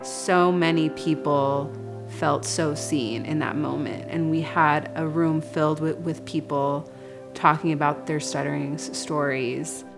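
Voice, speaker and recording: A woman's voice: low-pitched, steady tone, unhurried, gravelly. A woman podcaster in a casual interview, talking loosely with an occasional stutter on words. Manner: casual, with light stutter repeats. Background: background music.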